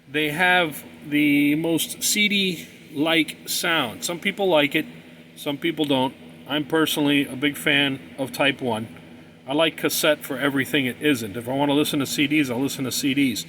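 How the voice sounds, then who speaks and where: A man's voice: on the high side, a bit bright, a little hiss or hum. A man giving an informal hands-on tutorial in a plain-spoken, folksy, explanatory way. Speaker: hobbyist presenter explaining cassette tapes.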